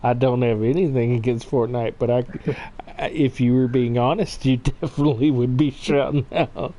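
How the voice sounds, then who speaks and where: A man's voice: medium pitch, steady tone, moderate pace, warm tone. An American man chatting casually and folksily as he plays a game, joshing with a friend. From United States. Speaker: gaming channel host. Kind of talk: casual chat while playing a farming game. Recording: faint background noise.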